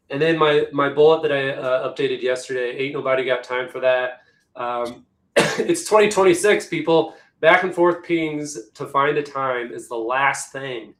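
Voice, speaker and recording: Male webinar presenter, hoarse, chatty and conversational, echoey room. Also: mid-range voice, fairly bright tone. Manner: chatty, conversational, many restarts. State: hoarse, losing his voice. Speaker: webinar presenter, executive assistant.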